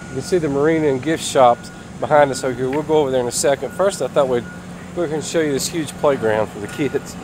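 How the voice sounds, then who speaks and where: Casual male travel vlogger, mid-pitched voice, recorded outdoors with clear ambient noise. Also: quick pace. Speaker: travel vlog host. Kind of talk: casual chat to camera. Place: outdoors at a park campground.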